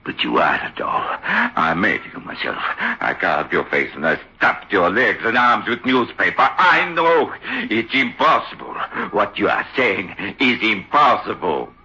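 An actor playing a frightened, domineering ventriloquist in an old radio horror play, blustering and overwrought. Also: male voice, on the low side, sing-song, measured pace, bright, forceful tone, exaggerated voice. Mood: frightened, frantic. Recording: dull lo-fi old radio recording.

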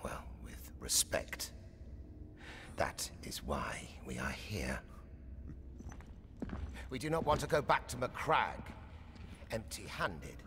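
An actor playing a proud, glory-hungry young space marine with a gravelly voice in an animated sci-fi film. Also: male voice, fairly low pitch, slow pace, pauses often, whispered, heavily acted. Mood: earnest challenge. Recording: noisy, cavernous reverberant hall.

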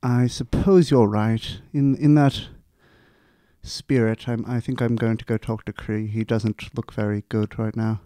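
Man playing a grave, mellow, measured demigod. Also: on the low side, fairly even intonation, no room sound, lightly acted. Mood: guarded. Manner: measured, terse, deliberate pauses. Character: grave, reserved, fate-minded male demigod adventurer.

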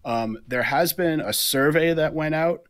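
A man's voice: on the high side, measured pace, fairly bright tone. A man in his thirties co-hosting a podcast from home, talking things through in a chatty way over a headset. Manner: chatty, explaining with fillers. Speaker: podcast co-host. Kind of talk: casual podcast chat. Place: room at home. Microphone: headset on a video call.

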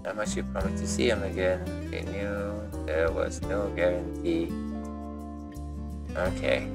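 Slow, low-voiced male game streamer. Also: level tone, vocal fry. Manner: offhand, muttering, paraphrases as he reads. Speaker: gaming streamer. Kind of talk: casual playthrough commentary. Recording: background game music. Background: game music.

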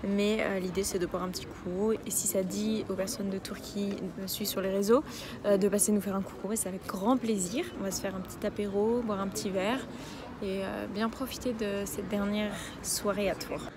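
A woman's voice: medium pitch, fairly even intonation, moderate pace, noisy background. A woman of about thirty chatting casually and effusively as she films a travel vlog. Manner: enthusiastic, effusive. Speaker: travel vlogger and dog trainer.

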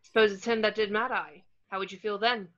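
A man's voice: high-pitched, fairly even intonation, fairly quick, fairly bright tone. A young man reading a blunt, wry, suspicious teenage wizard boy in an amateur table read. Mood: hard, accusing. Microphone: video call. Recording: muffled video call audio.

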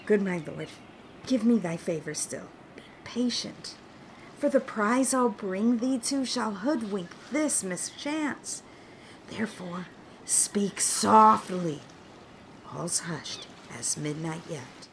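A woman's voice: medium pitch, lively intonation, slow pace. Woman as a fawning island monster, noisy recording. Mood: hushed pleading. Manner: hushed, urgent pleading. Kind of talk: Shakespearean radio play acting.

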